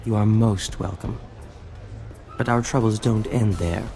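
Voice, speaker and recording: An actor playing a vain, charming scientist in a video game, talking in grandiose, courtly phrases. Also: male voice, on the low side, a little slow, vocal fry, warm tone, long reverb tail. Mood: smooth, regretful. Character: flamboyant, vain, charming male scientist and self-styled genius. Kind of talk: scripted video game acting. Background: music.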